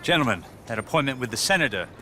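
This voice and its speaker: An actor playing an impatient, formal gentleman in a video game, with a clipped, stiff delivery. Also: medium pitch, very animated, racing, a little hiss or hum. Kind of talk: video game cutscene acting.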